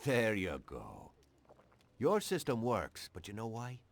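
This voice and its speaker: Cynical, swingy-voiced police detective. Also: male voice, lowish voice, wildly swooping pitch, slow, vocal fry, full character voice. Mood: scornful. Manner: sarcastic, challenging. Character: cynical, righteous male police detective questioning a mobster. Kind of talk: video game voice acting.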